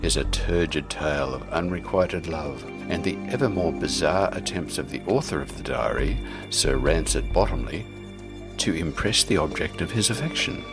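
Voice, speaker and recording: A man hosting a radio storytime show, moving between casual announcements and scripted story reading over music. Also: low voice.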